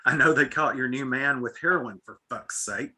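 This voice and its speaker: An actor playing an aggrieved, pleading ex-husband with a raspy, forceful voice. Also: male voice, medium pitch, moderate pace.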